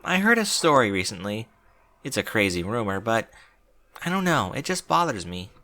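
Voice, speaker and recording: A man's voice: fairly low pitch, big pitch swings, normal speed, bright, forceful tone, heavily acted. A man voicing a bookish, anxious female unicorn who speaks earnestly and fretfully in a storybook reading. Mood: worried, embarrassed.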